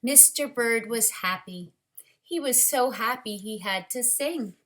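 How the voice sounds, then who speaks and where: A woman teacher leading a read-aloud storytime for young children, speaking deliberately and directly to them. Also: a little high, fairly bright tone.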